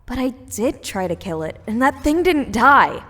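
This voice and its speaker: A woman's voice: fairly high pitch, sing-song, unhurried, fairly bright tone, dry recording, exaggerated voice. An actress playing an exhausted, injured young woman, a bitter and shaken survivor in a podcast drama. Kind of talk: dramatized fan fiction podcast acting.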